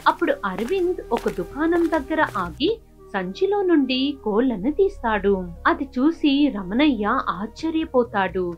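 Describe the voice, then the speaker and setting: Brief: female children's story narrator, high-pitched, animated delivery, music bed. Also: muffled recording.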